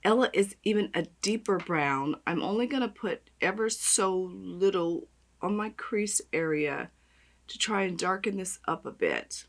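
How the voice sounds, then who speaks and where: A woman with a raspy voice, chatting and gushing as she does her makeup on camera. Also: medium pitch, lively intonation, measured pace. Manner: gushing, chatty, talks while applying makeup. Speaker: beauty vlogger. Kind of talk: makeup tutorial chat.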